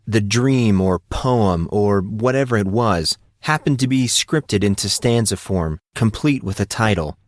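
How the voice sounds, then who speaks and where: A man's voice: fairly low pitch, lively intonation, unhurried, hardly any pauses, dry recording, studio quality. A man narrating a scripted story as an audiobook narrator.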